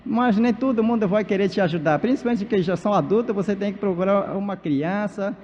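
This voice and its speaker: A man whose first language is Baniwa, a pastor and missionary from Amazonas, preaching at a church conference in halting speech full of fillers and restarts. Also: sits high, darkish tone, slight background noise. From Amazonas, Brazil. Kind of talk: sermon at a church leaders' conference. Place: large church hall. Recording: dull, reverberant church PA recording.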